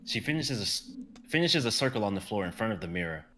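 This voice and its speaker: A young man reading scene directions aloud for a live drama stream, in a brisk and vivid way. His voice is low and bright, and now and then he stumbles over a word. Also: sing-song. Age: young adult. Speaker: narrator reading stage directions.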